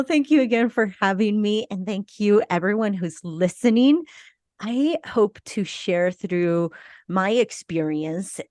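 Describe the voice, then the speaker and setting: A middle-aged woman from Argentina with a slight Argentine Spanish accent, telling her life story as a podcast guest in a slow, reflective way. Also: medium pitch, a little mellow, dry recording, studio quality. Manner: slow, reflective, with small laughs and restarts. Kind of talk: personal life story in interview.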